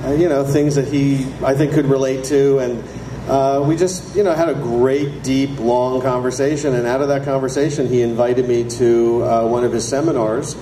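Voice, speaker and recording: A middle-aged man speaks into a handheld mic on stage, stopping and restarting often. The room rings with echo and a steady hum of crowd noise sits under him. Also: mid-range voice. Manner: halting, many restarts and fillers. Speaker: documentary filmmaker. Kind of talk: onstage storytelling at a screening Q&A. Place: stage before a live audience. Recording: echoey venue with audience noise.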